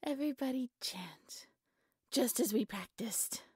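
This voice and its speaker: A woman playing an obsessive, devoted female cult leader in a roleplay audio drama, with a breathy, reverent, theatrical delivery. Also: on the high side, animated, measured pace, dry recording, clean studio sound, heavily acted. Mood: hushed, controlled. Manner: breathy, reverent, theatrical incantation with nervous stammers.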